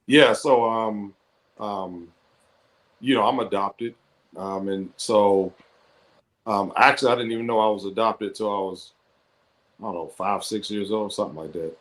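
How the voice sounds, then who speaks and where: A man's voice: fairly low pitch, a little slow, pauses often, fairly bright tone. A middle-aged man from Ohio, a guest coach telling his life story in an interview, reflective and full of fillers. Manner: reflective, with frequent fillers and restarts. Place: interview on a video call. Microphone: computer or headset microphone on a video call.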